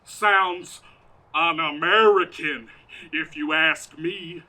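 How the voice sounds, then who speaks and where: A man's voice: fairly high pitch, takes it slowly, sharp tone, heavily acted. A man playing a folksy, grumbling, chauvinist farmer husband in a comedic stage radio play. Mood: indignant, stubborn. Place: outdoors, parking lot stage. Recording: outdoor live stage performance.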